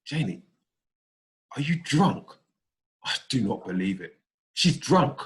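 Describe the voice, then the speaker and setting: An actor playing a cold, disgusted ex-husband making accusations in a script read on a video call. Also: male voice, medium pitch, sing-song, slow. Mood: disgusted, accusing. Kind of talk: acted script table read.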